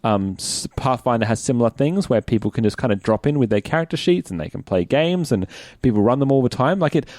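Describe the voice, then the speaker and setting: A man's voice: mid-range voice, expressive, quick pace, darkish tone, no room sound, studio quality. An Australian man co-hosting a podcast in casual chat, speaking informally and encouragingly. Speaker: podcast co-host.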